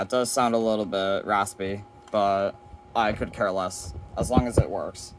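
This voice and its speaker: Man casually showing gear, noisy amateur recording. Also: fairly low pitch. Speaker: weather radio collector presenting. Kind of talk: casual hands-on collection demo.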